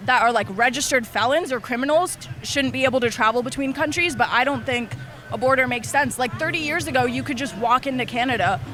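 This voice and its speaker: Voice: high-pitched, quick pace, sharp tone. A young adult arguing back defiantly in a heated street interview, swearing now and then, outdoors on a city street.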